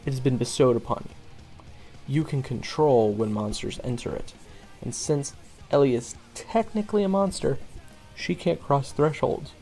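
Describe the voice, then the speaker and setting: A man playing a young monster-hunting swordsman in an audio roleplay, talking casually and haltingly, wry and wounded, with self-deprecating asides. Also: mid-range voice, warm tone, faint music behind, natural voice. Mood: wry, explanatory. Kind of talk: conversational audio roleplay monologue.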